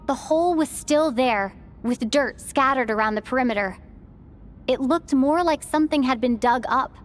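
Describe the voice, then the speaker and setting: A woman's voice: high-pitched, normal speed, lightly acted. A woman voicing an earnest, thoughtful young traveler in a fantasy video game, with a careful, reflective delivery. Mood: earnest, reasoned. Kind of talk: dramatic video game voice acting. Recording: music behind the voice.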